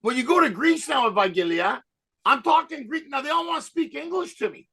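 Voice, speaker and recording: A man's voice: very high voice, expressive, fairly quick, pushed and bright. A man co-hosting a casual interview, playful and jokey, cutting in with questions. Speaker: podcast co-host.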